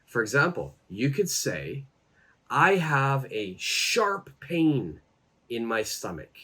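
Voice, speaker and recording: A man in his thirties teaching English to camera, seated in a plain room, saying each phrase slowly and then repeating it. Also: mid-range voice, fairly bright tone. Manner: slow and deliberate, repeating each phrase twice. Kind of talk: slow, clear English lesson to camera. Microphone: camera framed at chest height, seated.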